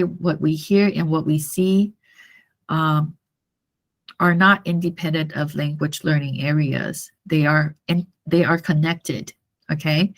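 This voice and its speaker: A woman lecturer from Taiwan whose first language is Mandarin speaks in a low-pitched, creaky voice. She explains slowly and haltingly, circling back to repeat her points and often checking that the class follows. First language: Mandarin Chinese. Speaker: university lecturer.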